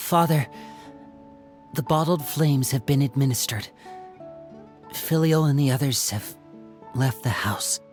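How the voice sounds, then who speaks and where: An actor voicing an earnest, soft-spoken young man who speaks respectfully to his adoptive parent. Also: medium pitch, a little slow, pauses often, close to an everyday voice. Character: earnest young male magician, devoted to family. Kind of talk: game cutscene voice acting. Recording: game dialogue over soft music.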